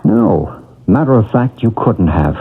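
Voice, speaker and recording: An actor playing a calm, soothing past-life regression expert in a 1970s radio drama. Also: male voice, fairly low pitch, slightly rough. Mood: reassuring. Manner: soothing, measured and hypnotic. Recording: tinny old radio broadcast.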